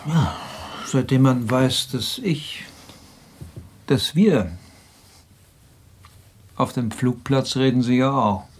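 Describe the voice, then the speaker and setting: An actor playing a bitter, mocking drinker in a radio drama, with halting, sardonic delivery. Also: male voice, medium pitch, slight background noise, lightly acted. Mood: evasive, glum. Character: bitter, mocking hard-drinking man in a sour relationship. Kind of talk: naturalistic radio drama acting.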